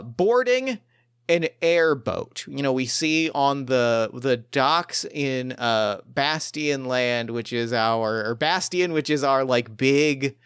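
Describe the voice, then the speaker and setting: A man's voice: mid-range voice, a bit bright, clean tone, no room sound. Clean, dry-sounding enthusiastic man. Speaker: game master and podcast host. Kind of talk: casual game narration and chat.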